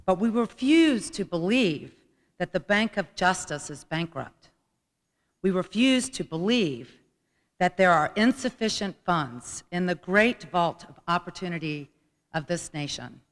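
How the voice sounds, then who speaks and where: A woman's voice: on the low side, a bit bright. A woman giving a formal dramatic reading of a speech as a guest reader. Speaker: guest reader at a commemorative event. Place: large indoor hall at a college event. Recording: reverberant hall, long echo.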